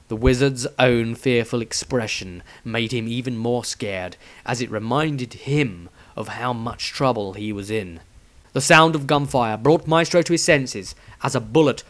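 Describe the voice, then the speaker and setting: Man dramatically narrating a fantasy story. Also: medium pitch. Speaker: author reading own fantasy story.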